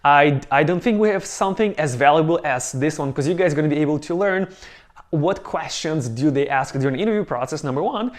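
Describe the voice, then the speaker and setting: A man's voice: on the high side, fairly quick. Upbeat man hosting a video call interview. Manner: upbeat, energetic intro. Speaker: host, bootcamp founder. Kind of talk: casual interview discussion.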